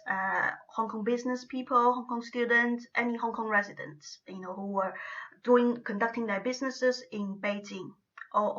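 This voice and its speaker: A woman's voice: mid-range voice, sharp tone, lo-fi sound. A woman giving an academic lecture, explaining at length and often checking in with her listeners.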